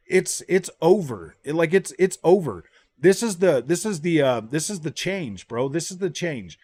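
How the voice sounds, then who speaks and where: A man with a slightly high voice talks like a gaming YouTuber sharing his opinions. His delivery is casual and slangy, circling back to repeat and restart phrases. The sound is very dry, with no room echo.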